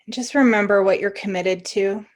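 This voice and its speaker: Woman meditation teacher talking you through it, gentle and encouraging, mid pitch, leaves pauses. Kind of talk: guided meditation.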